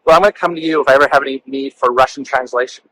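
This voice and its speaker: A man's voice: mid-range voice, rapid-fire, sharp tone, a little hiss or hum, rough recording. A man hosting a casual walk-and-talk interview, speaking in a gushing, effusive way. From California, lived in the Bay Area. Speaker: interview show host.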